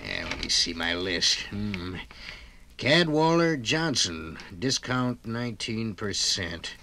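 Exacting frontier banker, crisp forceful low voice, vintage radio play. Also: male voice, animated, slow pace, exaggerated voice. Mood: preoccupied. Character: brisk, fussy frontier banker, the boss. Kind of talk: 1950s radio drama acting. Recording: noisy 1950s vinyl radio recording.

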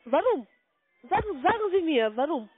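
A woman with a foreign accent in German on a sales call, halting and insistent with her questions. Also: extremely high pitch, big pitch swings. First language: not German. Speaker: call center sales agent. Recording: narrow-band phone line.

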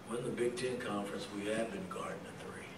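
A middle-aged man with a low, flat voice gives blunt postgame answers as a basketball coach. He speaks into a table microphone in a press room, heard a little from afar with roomy echo and loud background noise. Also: far from the mic. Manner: blunt and measured, frequent "I mean" restarts. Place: press room with sponsor backdrop.

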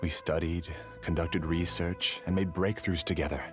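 An actor playing a formal, self-assured, obsessive scholar who rules a dream world in an anime game. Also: male voice, low voice, little pitch movement, moderate pace, over music, lightly acted. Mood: wistful. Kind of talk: anime video game voice acting.

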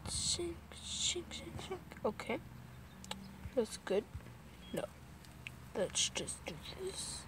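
A woman's voice: a little high, big pitch swings, extremely slow, long pauses. A woman streaming a game, casually thinking aloud in a playful, muttering way. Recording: noisy amateur game stream audio.

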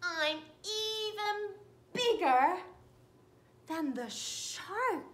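A woman voicing a boastful, excitable giant squid from a picture book, in proud singsong declarations. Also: very high voice, very slow, echoey room, slight background noise, pitched above the performer's own voice, heavily acted.

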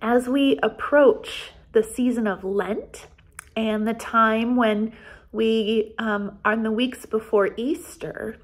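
An American woman in her forties leading a children's Bible storytime as pastor, speaking in simple, deliberate phrases meant for young children. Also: on the high side, fairly even intonation. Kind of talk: children's storytime, reading and explaining. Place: small office, indoors.

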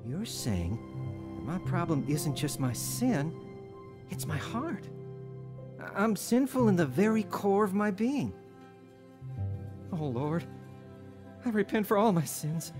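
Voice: medium pitch, very animated, slow pace, long pauses. An actor in a radio drama playing an anguished parent who pleads with God in earnest, repentant prayer. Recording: music playing under the voice.

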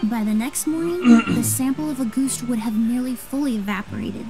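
An actress voicing a composed young woman sleuth in a game, explaining things in a measured, precise way. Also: fairly high pitch, natural voice. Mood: composed, explanatory. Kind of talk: scripted game dialogue. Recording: music behind the voice.

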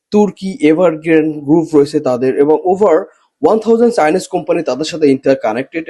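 High-pitched Bangladeshi man pitching a product. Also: warm tone. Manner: mixes English phrases into speech. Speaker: product presenter for a gadget seller. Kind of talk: promotional voice-over. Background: background music.

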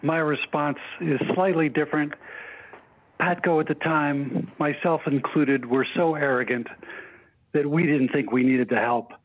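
A man's voice: on the high side, steady tone, a little slow. American man on a tinny phone line. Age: middle-aged or older. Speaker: interview guest, former union spokesperson. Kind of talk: reflective interview answer. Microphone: telephone.

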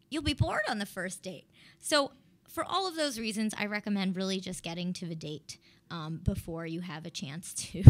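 A woman's voice: mid-range voice, a little mellow. A woman in her thirties hosting a live radio talk show as a dating coach, chatty and upbeat, laughing now and then. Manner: chatty, upbeat, laughs often, speaks with hand gestures. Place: small radio studio. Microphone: studio mic on a boom arm, headphones on.

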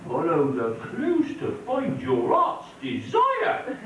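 An amateur actor playing an excitable, daft pantomime bear with exaggerated delivery. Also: male voice, very high voice, slow, noisy background, exaggerated voice. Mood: eager. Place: village hall stage. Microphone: far camera in the audience. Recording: echoey hall from far off, camcorder.

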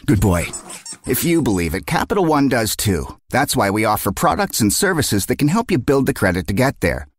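A man's voice: medium pitch, very animated, brisk, no room sound, clean studio sound. A man narrating a scripted, conversational commercial as its announcer.

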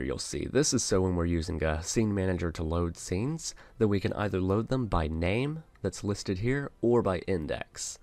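A man narrating a casual software tutorial, explaining things step by step as an instructor. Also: deep voice, vocal fry, dry recording.

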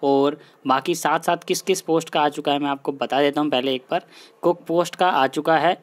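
Higher-pitched man explaining updates. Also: fairly even intonation, moderate pace. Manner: repetitive, mixes English words into his talk. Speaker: host of an exam news channel. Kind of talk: informal explainer, addressing viewers directly.

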